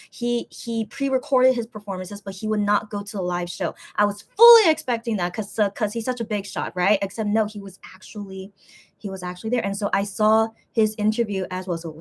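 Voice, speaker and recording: A woman casually telling a story on a live stream, reading viewer comments aloud and rambling with many restarts. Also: medium pitch. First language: English.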